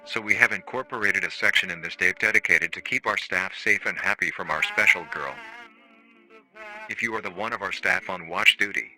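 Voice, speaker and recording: A man playing a formal, matter-of-fact research-facility narrator on an analog horror staff training tape, calmly chilling and euphemistic. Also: deep voice, rough recording.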